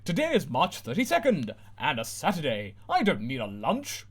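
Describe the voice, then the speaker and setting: A man voicing a whiny, bratty teenage girl heroine in a parody story reading, with shrill outbursts. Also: huge pitch swings, normal speed, husky, exaggerated voice. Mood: snotty.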